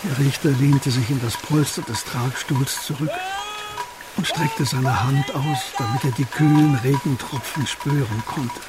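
A man narrating a radio drama as a scripted literary story, in a composed and deliberate manner. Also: mid-range voice, steady tone, over loud music, close to an everyday voice, low-quality recording.

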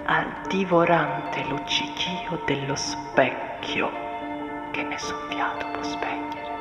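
A woman performing a solemn, deliberate dramatic monologue of literary text, often sinking into breathy whispers. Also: deep voice. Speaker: stage actress performing a monologue. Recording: echoey, long reverb, music underneath. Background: music and soundscape.

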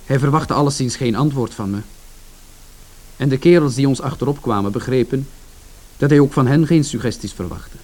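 An actor playing a reflective son who narrates a bleak family story in a radio drama. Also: male voice, mid-range voice, moderate pace, darkish tone, lightly acted. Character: George, reflective younger son recalling his family's bleak Saturday. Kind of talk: scripted first-person narration in radio drama.

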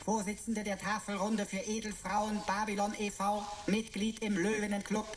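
A woman's voice: mid-range voice, little pitch movement, normal speed, a little hiss or hum, natural voice. A woman playing a cheeky talking pocket computer in a German radio play, flowery, ironic and mock-formal. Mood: officious, flowery.

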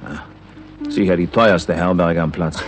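An actor playing a grumbling, sceptical male traveller in a German radio play, gruff and sarcastic. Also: low-pitched, steady tone, unhurried, soft background music. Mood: sardonic. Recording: 1960s radio play with loud background noise.

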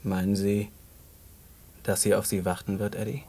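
Over a faintly noisy ambience, a fairly low male voice of an actor portrays a decent man in a prison cell. He is polite, earnest and reflective, choosing his words hesitantly as in a naturalistic radio play. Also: little pitch movement, moderate pace, warm tone, lightly acted. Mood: quiet, thoughtful.

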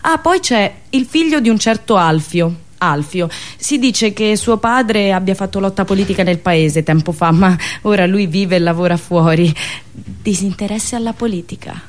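A woman performing a satirical storytelling monologue, dramatic and ironic, with pauses and drawn-out words. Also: medium pitch, lively intonation. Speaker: radio host and monologist.

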